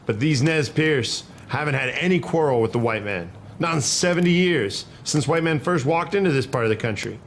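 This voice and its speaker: A man's voice: on the high side, expressive, normal speed, hardly any pauses. Man acting a stubborn frontier cavalry private, noisy recording. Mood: indignant. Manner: folksy frontier speech, argumentative. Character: principled, stubborn cavalry private defending the Nez Perce. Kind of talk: historical dramatization dialogue.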